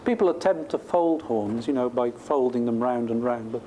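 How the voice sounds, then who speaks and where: Mellow-voiced male lecturer, noisy recording. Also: medium pitch, moderate pace. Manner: conversational, thinking aloud. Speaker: professor giving a lecture. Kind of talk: technical lecture question-and-answer, informal explaining. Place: lecture room at a university.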